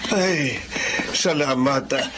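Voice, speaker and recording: A man's voice: medium pitch, slow, noisy background, natural voice. A man voicing an elderly grandfather in a radio drama, speaking in a fond, fatherly tone. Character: elderly grandfather, warm and teasing. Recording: loud background music.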